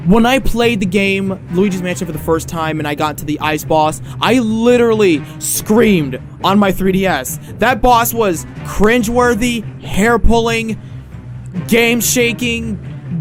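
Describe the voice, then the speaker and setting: Man, podcast host, high pitch, hoarse, excitable, rambling, faint background music. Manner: excitable, rambling, trips over words. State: losing his voice, slightly hoarse. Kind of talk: casual game review chat.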